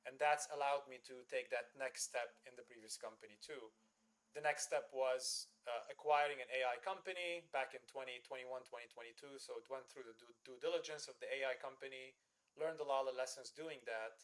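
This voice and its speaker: A man's voice: medium pitch, brisk, fairly bright tone. A man in his thirties from Lebanon, an engineer guest telling his story in an interview, earnest and reflective with frequent fillers.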